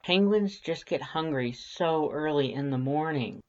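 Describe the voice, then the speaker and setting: An expressive audiobook narrator with a middling pitch, reading fiction in a wry, conversational manner. The home recording sounds a bit dull. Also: a little slow. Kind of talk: expressive scripted story narration.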